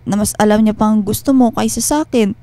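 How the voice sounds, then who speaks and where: A woman acting in a radio drama as a hurt, jealous wife, emotional, halting and accusing. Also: mid-range voice, steady tone, warm tone, no room sound, close to an everyday voice. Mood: hurt, suspicious. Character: hurt, jealous wife confronting her husband. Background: background music.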